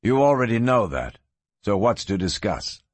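A man voicing a stubborn, quietly menacing gunslinger in a Western storybook, with a measured manner. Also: on the low side, dry recording. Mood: calm, resolute. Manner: flat, measured, soft-spoken warnings. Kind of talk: dramatised audiobook reading.

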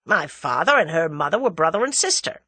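A woman's voice: medium pitch, big pitch swings, moderate pace, pushed and bright, dry recording, exaggerated voice. A woman voicing a brash, impatient American man in his thirties, blunt and slangy, in a storybook reading.

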